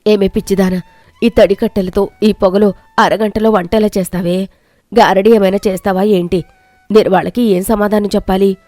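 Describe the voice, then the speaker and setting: A woman voicing an anxious older village mother-in-law in an animated storybook. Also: mid-range voice, normal speed, no room sound, natural voice. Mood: scolding.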